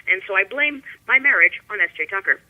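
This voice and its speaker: A woman's voice: mid-range voice, lively intonation, fast talker. Woman on a tinny phone line. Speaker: guest musician. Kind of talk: casual personal storytelling. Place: remote call into the show.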